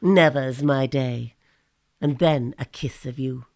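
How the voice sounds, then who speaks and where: A woman's voice: rumbling low, takes it slowly, darkish tone, full character voice. A woman playing a sly, scornful Greek strategist, declaiming with bite in a Shakespearean audio drama.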